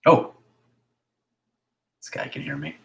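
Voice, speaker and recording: A man with a raspy voice hosting a casual live stream as a filmmaker, rambling in a chatty way and sometimes dropping to a whisper. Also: takes it slowly, slight background noise. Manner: hushed at times, drifting into whispers; chatty, with fillers and restarts.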